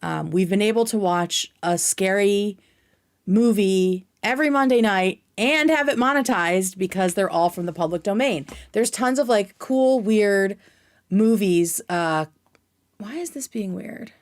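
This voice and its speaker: A woman's voice: medium pitch, measured pace. A woman chatting casually as a livestream host, with an informal, scattered manner and free swearing.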